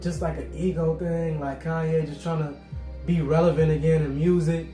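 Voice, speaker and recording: A man's voice: fairly high pitch, little pitch movement, moderate pace, echoey room, music in the background. A man co-hosting a casual chat, giving rambling, thoughtful opinions full of fillers. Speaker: co-host.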